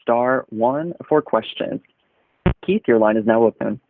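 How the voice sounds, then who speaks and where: A man's voice: medium pitch, unhurried. Male operator-style moderator; formal, procedural delivery on dull, faintly noisy phone audio. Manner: formal, repeats instructions. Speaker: conference call moderator. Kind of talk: procedural call announcements. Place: phone line on a teleconference. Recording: noisy, muffled phone line with clicks.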